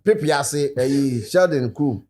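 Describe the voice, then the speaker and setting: A man's voice: mid-range voice, takes it slowly, a little mellow. A man with a Ghanaian accent chatting casually as a panelist on a talk show, switching between English and local speech. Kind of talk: casual chat mixing English and Twi.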